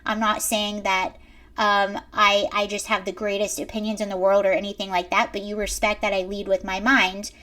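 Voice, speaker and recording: A young woman with a high-pitched voice giving emphatic commentary straight to her viewers. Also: little pitch movement, moderate pace, sharp tone. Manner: emphatic, lecturing, addresses viewers directly. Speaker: commentary host. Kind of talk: reaction commentary to camera.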